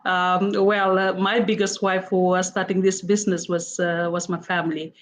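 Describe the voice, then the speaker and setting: A middle-aged woman with a Fijian accent, a guest in a casual interview, who speaks haltingly with many "uh" and "um" pauses. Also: fairly low pitch, little pitch movement, a little hiss or hum. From Fiji. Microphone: video call. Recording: dull lo-fi online call audio.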